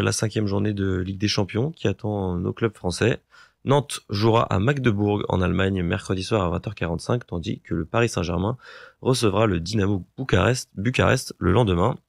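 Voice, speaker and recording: A young man with a low, gravelly voice runs through the week's sports fixtures for a student radio show. The sound is dry and close in a studio. He reads steadily, one match time after another. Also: dry recording. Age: young adult. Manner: brisk, listing fixtures with times. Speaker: sports columnist. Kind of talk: radio sports listings segment. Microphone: studio microphone.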